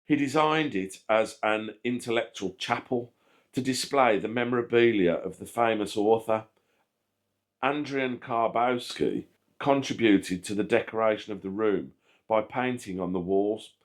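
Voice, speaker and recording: A man reading a scripted history narration aloud in a measured, factual manner. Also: lowish voice. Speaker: channel host narrating a history video.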